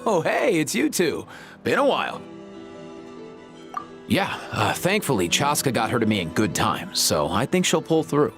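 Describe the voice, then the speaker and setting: A man's voice: mid-range voice, huge pitch swings, measured pace. A man voicing a laid-back, weary young animal doctor in a video game, casual, hesitant and dryly wry. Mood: relaxed. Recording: music behind the voice.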